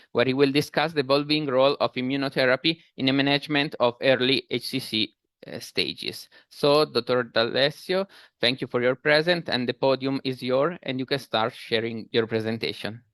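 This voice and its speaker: A man's voice: medium pitch, a bit bright, clear, resonant tone, dry recording. A man hosting a webinar over a video call, formally introducing the speaker with some slips and fillers. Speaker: webinar host.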